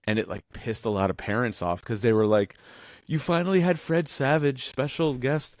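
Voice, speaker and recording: A man co-hosting a podcast, chatting in an informal, animated way with some mild swearing. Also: mid-range voice, moderate pace, no room sound. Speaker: podcast co-host. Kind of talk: casual chat.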